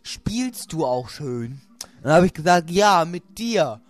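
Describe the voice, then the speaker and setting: A man's voice: fairly high pitch, big pitch swings, takes it slowly. A man chatting casually and playfully as he hosts a let's play. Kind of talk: casual joking chat while gaming. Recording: music playing over the voice.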